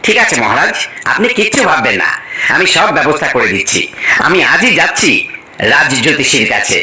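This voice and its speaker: Man doing an eager minister, bright, sharp voice. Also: a little high, big pitch swings, a little fast, slight background noise, heavily acted. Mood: obliging. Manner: deferential, eager to please. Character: obedient, eager royal minister, deferential servant of king. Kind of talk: cartoon story voice acting. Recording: roomy echo with faint music.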